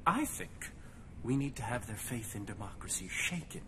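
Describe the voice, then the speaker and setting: An actor playing an eccentric, decadent, pompous politician in a video game cutscene, theatrical and effusive in manner. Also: male voice, mid-range voice, very animated, moderate pace, noisy background, natural voice. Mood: sly, insinuating. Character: eccentric, decadent, pompous male politician relishing grotesque delicacies.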